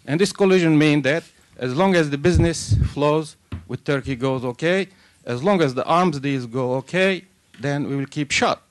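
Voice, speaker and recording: A man's voice: a little high, normal speed, hardly any pauses. A man speaking from the audience at a panel session. He stumbles and restarts at first, then builds a firm argument and ends by asking the panel to respond. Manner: starts hesitant, then argues firmly. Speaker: audience questioner. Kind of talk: question from the floor.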